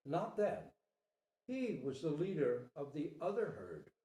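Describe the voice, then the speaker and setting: A man voicing a patient, gentle mother deer explaining things to her child in a storybook reading. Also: on the high side, big pitch swings, slow. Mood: reassuring. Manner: explanatory, reassuring. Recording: roomy, distant microphone.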